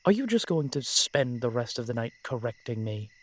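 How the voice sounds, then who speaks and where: A man playing a brooding, protective vampire in an audio roleplay, speaking in formal, measured phrases with dramatic pauses. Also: medium pitch, sing-song. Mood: exasperated, teasing. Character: brooding, protective male vampire, old-fashioned and frustrated. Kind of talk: scripted audio roleplay acting addressed to the listener.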